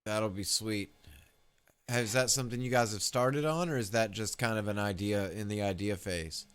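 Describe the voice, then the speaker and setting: A man with a slightly low, smooth and clear voice hosts a livestream interview. He speaks casually and conversationally as he passes viewer questions on to his guest. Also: moderate pace, a bit bright.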